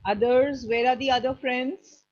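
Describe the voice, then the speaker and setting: A woman's voice: sits high, steady tone, a little slow, a little mellow. A woman with an Indian accent, speaking as a teacher in an encouraging, effusive way to her online class. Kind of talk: casual spoken feedback to students. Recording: muffled video-call audio with faint noise.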